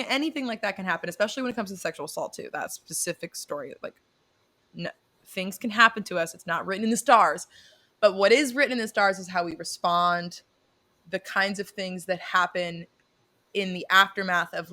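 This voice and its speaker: Young woman podcast co-host, bright tone, animated casual chatter with frequent laughs. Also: mid-range voice. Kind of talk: casual chat.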